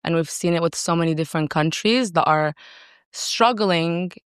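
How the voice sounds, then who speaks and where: A woman's voice: lowish voice, normal speed, clean tone, no room sound, studio quality. A woman from Saudi Arabia talking casually and self-assuredly as a guest in an interview, with emphatic delivery. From raised in Saudi Arabia. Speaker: podcast guest, entrepreneur.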